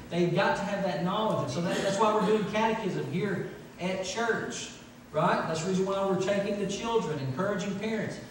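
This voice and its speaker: A middle-aged man preaching a sermon, emphatically repeating phrases for stress. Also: sits high. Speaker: pastor. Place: large church sanctuary, from the pulpit. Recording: echoey church hall, distant, faint noise.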